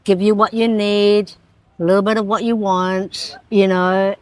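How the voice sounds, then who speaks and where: A woman's voice: medium pitch, level tone, unhurried. A woman fitness coach in a small studio answering interview questions, eager and agreeable, restarting phrases and saying yeah often. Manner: warm, eager, agreeable, with many restarts and frequent yeahs. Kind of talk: casual interview.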